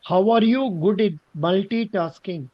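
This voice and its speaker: A man's voice: high-pitched, measured pace, muffled recording. A man with an Indian accent, coaching in a mock interview with direct questions and instructive advice.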